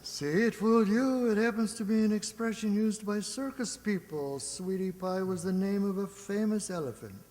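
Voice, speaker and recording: A man's voice: high-pitched, normal speed, hardly any pauses, darkish tone, close to an everyday voice. A male actor as a wisecracking old maestro. He hems and coaxes with wry radio comedy timing, his voice ringing in a long hall reverb. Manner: hesitant, wisecracking. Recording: hall reverb on stage-like recording.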